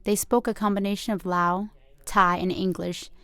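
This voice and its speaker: A woman in her twenties, a student filmmaker, narrating her documentary in a calm, reflective, measured way. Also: mid-range voice, dry recording. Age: 20s.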